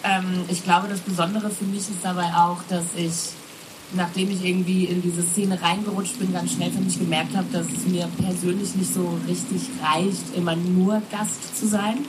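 A woman's voice: fairly low pitch, level tone, moderate pace, noisy background. A woman from a festival booking team, talking chattily on a live panel with many fillers and tag questions.

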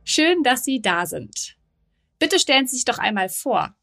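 Woman podcast host, slightly high voice, friendly and scripted, faint music underneath. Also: very animated, unhurried, vocal fry, fairly bright tone. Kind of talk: podcast interview hosting.

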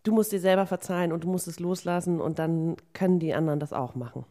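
A woman's voice: fairly low pitch, quick pace, mellow. A woman co-hosting a podcast who speaks frankly and gives her friend direct advice in a casual, plain way. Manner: frank, advising, blunt phrasing. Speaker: podcast co-host. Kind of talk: casual supportive conversation.

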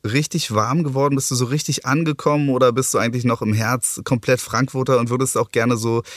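A man's voice: mid-range voice, steady tone, a little fast, no room sound, studio quality. A man from Berlin hosting a podcast, asking long, chatty, rambling questions. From Berlin, Germany. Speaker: podcast host. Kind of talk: conversational podcast interview.

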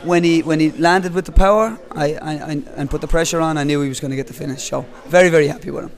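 A man who coaches fighters, calmly answering questions at an event with frequent hesitations. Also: a little high, quick pace. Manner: calm, hesitant, many fillers. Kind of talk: post-fight interview.

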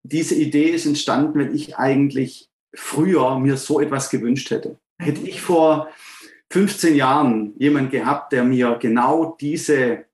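A man in his forties with a Swabian accent, speaking earnestly as an interview guest and often pausing to search for words. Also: medium pitch, darkish tone, echoey room. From Swabia, Germany. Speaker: guest, fathers' coach. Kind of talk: reflective interview talk.

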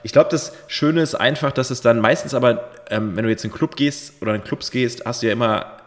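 A man in his thirties co-hosting a podcast, telling a rambling story full of fillers, his voice a bit hoarse after a night of drinking. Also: mid-range voice, fairly quick, big-room sound. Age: 30s. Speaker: podcast co-host. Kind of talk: casual chat.

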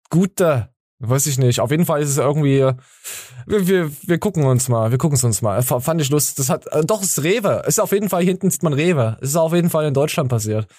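A man's voice: mid-range voice, a little mellow, dry recording, clean studio sound. A man hosting a podcast, joking and teasing in casual chat, with many fillers and restarts. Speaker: podcast host.

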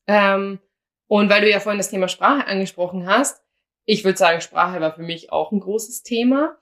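A woman's voice: medium pitch, normal speed, fairly bright tone. A young woman from Austria, co-hosting a casual podcast and telling stories with quoted dialogue. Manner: chatty, anecdotal, acts out quoted dialogue. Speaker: podcast co-host.

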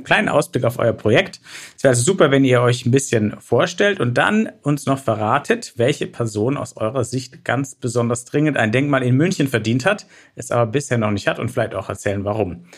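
Podcast-Moderator, leicht belegte Stimme. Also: male voice, mid-range voice, lively intonation, normal speed. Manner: friendly, chatty moderating. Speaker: podcast host. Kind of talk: casual podcast interview.

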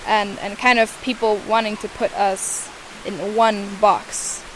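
A woman's voice: medium pitch, flat delivery, slow, slight background noise, low-quality recording. A young woman with another first language than English, answering interview questions thoughtfully and searching for her words. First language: not English. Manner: hesitant, searching for words, many restarts.

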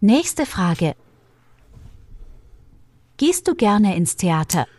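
A woman presenting a language lesson, asking practice questions and giving model answers in a measured, instructive way. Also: mid-range voice, very animated, darkish tone, dry recording. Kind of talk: clear scripted lesson delivery.

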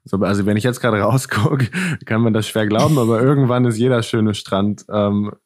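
A man's voice: lowish voice, steady tone, a little fast, a little mellow, no room sound, clean studio sound. A young man from northern Germany, a founder answering interview questions casually and reflectively, often searching for words. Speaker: podcast guest, founder.

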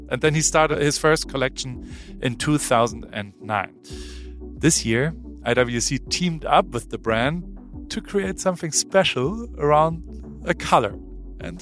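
German man hosting a chatty interview. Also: on the high side. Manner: chatty, stumbling restarts, lively. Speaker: podcast host. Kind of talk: casual interview.

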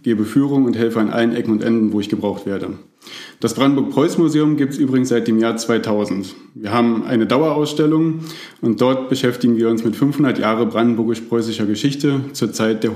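A man in his thirties from Berlin, co-hosting a history podcast and explaining things in a steady, measured way. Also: medium pitch, reverberant. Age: 30s. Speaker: podcast co-host, museum educator. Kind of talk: casual podcast chat.

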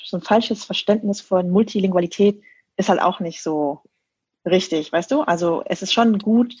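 A young woman in a casual group discussion, quickly and firmly arguing her point. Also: medium pitch, a little mellow, husky, no echo, dull audio. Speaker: panel guest.

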